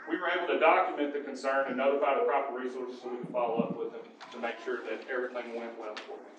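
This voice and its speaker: Earnest police sergeant reading a tribute. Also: male voice, mid-range voice, steady tone, quick pace, lo-fi sound, noisy background. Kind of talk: prepared speech. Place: council chamber.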